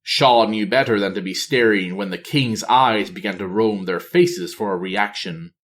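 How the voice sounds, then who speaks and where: A man reading a fantasy novel aloud as scripted narration, in a measured, theatrical manner. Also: fairly low pitch, fairly bright tone. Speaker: audiobook reader.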